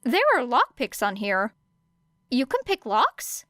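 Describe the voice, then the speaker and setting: A woman's voice: a little high, huge pitch swings, slow pace, fairly bright tone, no echo, clean studio sound, voice pushed up, full character voice. A woman voicing an earnest, inquisitive, nerdy teenage boy who rambles analytically in an audiobook story. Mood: curious, flustered. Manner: rambling, analytical, piling up details.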